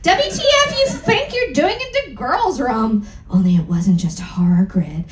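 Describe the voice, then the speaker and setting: A woman's voice: a little high, animated, a little slow, darkish tone. A woman giving a comedic dramatic reading of fan fiction to a live audience, theatrical and exaggerated, with wry asides. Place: live event room aboard a cruise ship. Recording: echoey live room.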